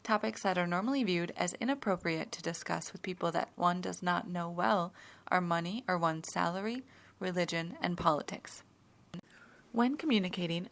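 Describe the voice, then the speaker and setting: A woman giving scripted, measured narration that explains ideas step by step. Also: fairly low pitch.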